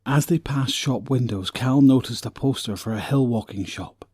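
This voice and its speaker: Male narrator, low husky voice, scripted storytelling, very dry close sound. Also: normal speed, a little mellow, no room sound.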